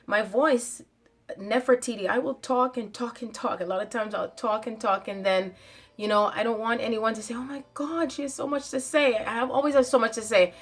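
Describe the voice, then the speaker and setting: A woman's voice: fairly high pitch, fairly even intonation, normal speed. Emphatic woman speaking spiritual monologue. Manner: emphatic, repeats phrases, declarative. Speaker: channel host.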